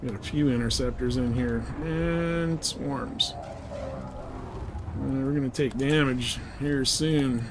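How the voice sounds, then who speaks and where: A man's voice: medium pitch, slow pace. Laid-back male game streamer over background noise. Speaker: livestreamer. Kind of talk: casual live gameplay commentary.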